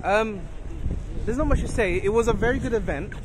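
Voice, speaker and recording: A young man giving a quick, plain-spoken recap of a tournament, outdoors on a city street at night. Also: high voice. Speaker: friend and guest player. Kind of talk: casual chat. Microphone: handheld camera. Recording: loud wind noise on an outdoor phone mic.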